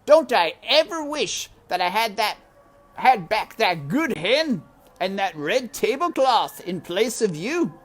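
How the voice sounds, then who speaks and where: A woman voicing a gruff, mean man, a mocking guardian with scornful, uneducated rustic speech, for a storybook read-aloud. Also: mid-range voice, big pitch swings, takes it slowly, pitched above the performer's own voice. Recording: windy outdoor ambience noise.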